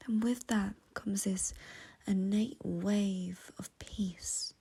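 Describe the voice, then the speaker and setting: Whispery, very breathy woman guiding meditation. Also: mid-range voice, fairly even intonation. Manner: gentle, soothing, unhurried with long pauses. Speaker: guided meditation teacher. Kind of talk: guided meditation, soothing instruction.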